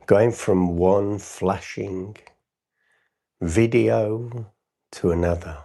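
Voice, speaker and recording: A man leading a live guided meditation, speaking in short unscripted phrases with long pauses and a soothing, instructing manner. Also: fairly low pitch, gravelly, mellow. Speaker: meditation teacher hosting a livestream.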